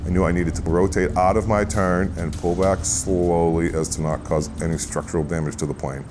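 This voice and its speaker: A middle-aged man, a private pilot, telling his story in an interview with a reflective, halting way of speaking. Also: deep voice, fairly even intonation, over music. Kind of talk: interview recounting an event.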